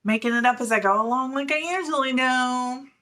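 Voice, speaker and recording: A chatty woman in her forties talks straight to the camera about her knitting. Her voice is bright and fairly high, and she laughs easily between "um"s and "like"s as she goes. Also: fairly quick. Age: 40s. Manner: chatty and giggly, with many fillers. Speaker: knitting podcast host; middle school teacher. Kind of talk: casual chat to camera.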